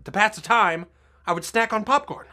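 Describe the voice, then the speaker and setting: A young woman telling a comic personal story, animated and breaking into shouts and laughter. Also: medium pitch, moderate pace.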